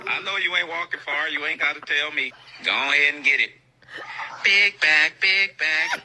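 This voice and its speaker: Sharp, forceful American man taunting someone. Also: a little high, moderate pace, slight background noise, poor audio. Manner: taunting, colloquial, repeats himself. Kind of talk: mocking, teasing street-level banter.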